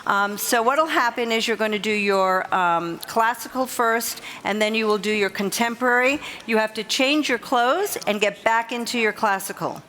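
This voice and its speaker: A woman's voice: fairly high pitch, moderate pace, few pauses, bright, forceful tone. A woman giving informal, encouraging instructions to a group as a competition official. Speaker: competition official addressing finalists. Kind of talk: spoken address to a group, informal. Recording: live hall with crowd noise.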